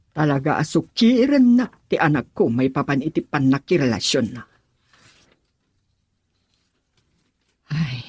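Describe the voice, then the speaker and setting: Husky, animated, prying mother. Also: low voice, no room sound, exaggerated voice. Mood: worried. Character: prying, worried mother of a grown daughter. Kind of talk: radio drama acting.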